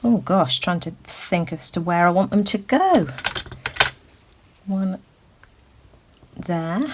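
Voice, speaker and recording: A woman hosting a craft tutorial, thinking aloud with lots of ums and a slightly croaky voice. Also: fairly low pitch, animated, takes it slowly, background noise. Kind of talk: casual crafting demonstration. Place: indoors at a craft table.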